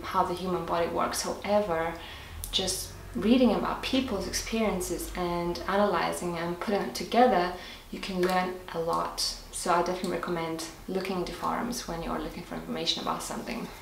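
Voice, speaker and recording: This is a woman with a fairly low voice, answering her viewers' questions in a casual vlog. She thinks aloud and explains things step by step. Her voice comes from a little way off the mic in a reverberant room with faint background hiss. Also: creaky, recorded from a distance. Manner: thoughtful, explains step by step with small restarts. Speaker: health vlogger. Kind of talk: casual Q&A talk to camera.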